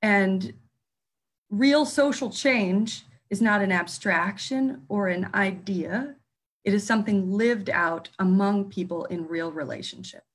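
A woman minister and author speaking earnestly in a panel discussion, pausing now and then to find her words. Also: medium pitch.

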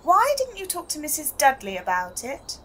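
A woman's voice: sits high, huge pitch swings, normal speed, slight background noise. A woman acting in an audio drama, playing an inquisitive journalist who keeps asking questions.

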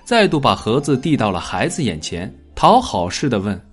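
A man reads a dramatic audiobook with a wide, lively swing in pitch. His voice is slightly husky, and his delivery is measured and expressive. Soft music plays behind him. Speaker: audiobook narrator. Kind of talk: scripted dramatic story narration.

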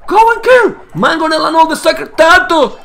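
A hoarse, Spanish-native man casting a live strategy game match, getting louder and repeating himself at big plays. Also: very high-pitched, animated, moderate pace. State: hoarse, losing his voice. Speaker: esports caster. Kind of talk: excited live game commentary.

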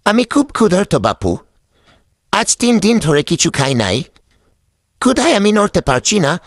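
A man's voice: sits high, sing-song, moderate pace, dry recording, studio quality. A man voicing a humble, weak, destitute man in a children's cartoon, speaking plaintively. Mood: weary, plaintive. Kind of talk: children's moral cartoon dubbing.

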